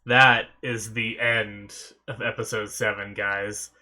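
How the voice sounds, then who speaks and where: A man's voice: on the low side, little pitch movement, slow pace, pushed and bright. A young man hosting an anime reaction, rambling casually with many fillers while still sniffly and recovering from a sore throat.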